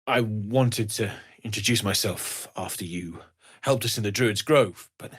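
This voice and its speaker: A man voicing a kindly, earnest, world-weary blacksmith in a video game, speaking softly and sincerely. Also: fairly low pitch, measured pace, slightly rough. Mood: friendly, sincere. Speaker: video game character, smith and merchant.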